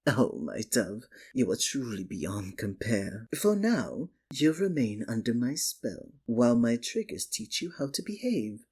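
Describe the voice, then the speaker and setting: A man playing a sinister, possessive magician in an ASMR roleplay, speaking softly and coaxingly with theatrical, condescending endearments. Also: medium pitch, sing-song, measured pace, hardly any pauses. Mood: tender, possessive. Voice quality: soft, breathy. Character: sinister, possessive male stage magician, soothing hypnotist. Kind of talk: ASMR roleplay, scripted dramatic monologue.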